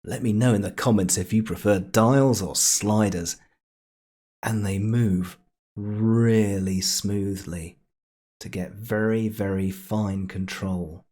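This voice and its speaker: Low-voiced, husky man, playful tutorial narrator. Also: fairly even intonation, slow pace, warm tone. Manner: playful, jokey, chatty asides. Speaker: tech tutorial presenter. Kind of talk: casual software tutorial narration.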